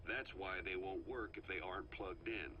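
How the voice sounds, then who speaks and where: An actor playing a middle-aged intelligence operative in a game's cassette tape, briefing in a measured, matter-of-fact way. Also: male voice, low voice, muffled recording, slight background noise, natural voice. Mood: dry, measured.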